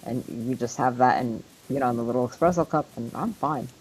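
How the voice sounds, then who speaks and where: Very low, husky-voiced New Jersey woman. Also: brisk, a little mellow, faint music behind, low-quality recording. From US East Coast. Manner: chatty, rambling, laughs often. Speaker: podcast host. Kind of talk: casual group chat.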